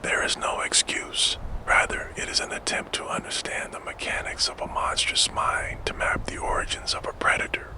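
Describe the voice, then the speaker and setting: Man in a soft unvoiced whisper. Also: rough recording. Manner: slow, soft, measured, scripted delivery. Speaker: narrator. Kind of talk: whispered ASMR true crime narration.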